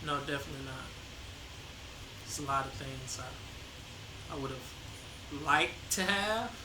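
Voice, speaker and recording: A man's voice: on the high side, animated, takes it slowly. A young man talking casually as a guest, hesitant and laid-back, restarting his sentences often. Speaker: guest, the host's son. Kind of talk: casual conversation. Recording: echoey room with faint noise.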